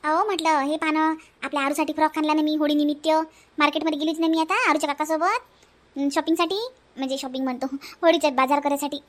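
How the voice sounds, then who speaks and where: Outspoken, sarcastic younger sister-in-law for a family cartoon, played by a woman: high, rapid, emphatic, Varhadi Marathi accent. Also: fairly even intonation, close to an everyday voice. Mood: chatty. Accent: Varhadi Marathi from Vidarbha. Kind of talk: homely family cartoon voice acting.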